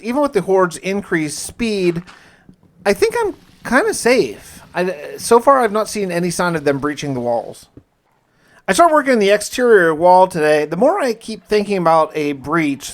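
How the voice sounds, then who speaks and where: Higher-pitched man narrating a gaming video. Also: sing-song, moderate pace. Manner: conversational, journal-like storytelling. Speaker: gaming video creator narrating a survival challenge. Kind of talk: first-person game playthrough narration with light roleplay.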